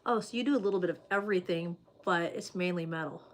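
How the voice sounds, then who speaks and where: A woman's voice: on the low side, fast talker. A woman hosting a casual livestream, chatting loosely with viewers and reading their comments, with plenty of "like" and "um" fillers.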